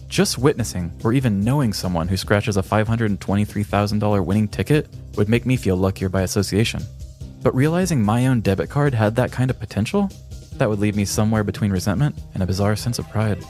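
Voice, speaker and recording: A man narrating a scripted story as a podcast host, in a measured, dramatic manner. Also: on the low side, animated, darkish tone. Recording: music behind the voice. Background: background music.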